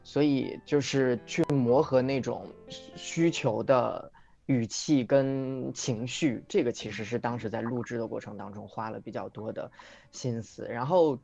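Male singer guest, casual livestream talk, reflective explanations, mid pitch, lo-fi sound with clear music behind. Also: unhurried, darkish tone. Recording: dull lo-fi audio, music behind. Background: background music.

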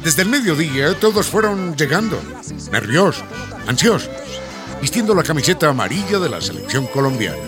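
A man narrating a scripted radio drama as a lively storyteller. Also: fairly high pitch, brisk. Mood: dramatic storytelling. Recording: music behind the voice. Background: music.

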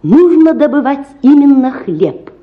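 Lively, spirited young lady. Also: sits high, measured pace, phone-quality sound. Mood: eager, assertive. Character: spirited, dreamy, wealthy young lady idealist. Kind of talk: theatrical radio drama acting.

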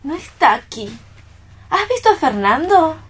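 A woman's voice: high-pitched, big pitch swings, unhurried, a bit bright, exaggerated voice. A woman acting in a radio play as an earnest, tender, troubled friend, pleading expressively. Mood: puzzled, hurt.

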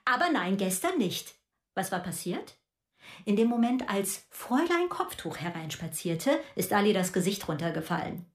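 A woman's voice: mid-range voice, big pitch swings, moderate pace, close to an everyday voice. An actress playing an angry, proud middle-aged mother who rants with sarcasm in a radio drama. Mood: bitter.

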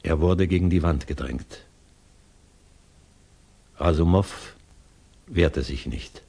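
A man acting as the grave narrator of a 1960s German radio drama, measured and solemn, with a husky voice. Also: deep voice, mellow, full character voice. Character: grave male storyteller recounting a grim tale.

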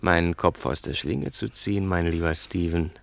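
A male actor playing a cool, calculating, sardonic crook with a deliberate, condescending manner in a 1960s German radio play. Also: sits low, creaky. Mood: coolly scheming.

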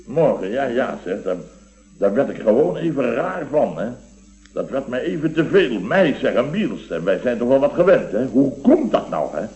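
An actor plays a sentimental, blustering middle-aged male contractor in a radio comedy. He rambles in exclamations and tag words, his mid-pitched voice swinging widely up and down. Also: big pitch swings, normal speed, warm tone, highs cut off, lightly acted. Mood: shaken, sentimental. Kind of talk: Dutch radio comedy acting.

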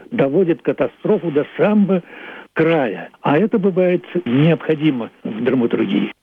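An older man, a playwright, reflecting on his work in a thoughtful, literary and deliberate way. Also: mid-range voice, slightly rough, no echo. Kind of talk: reflective interview monologue about own work. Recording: thin phone-like radio recording.

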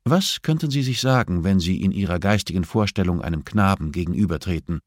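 A man's voice: deep voice, expressive, brisk, dry recording, clean studio sound. A man voicing a composed, firm female prison therapist who speaks politely and insistently, as audiobook dialogue. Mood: probing, calm.

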